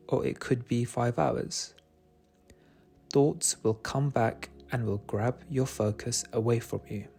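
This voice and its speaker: Slow, mellow male meditation guide. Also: medium pitch, creaky. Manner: soothing, gentle, unhurried. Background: soft meditation music.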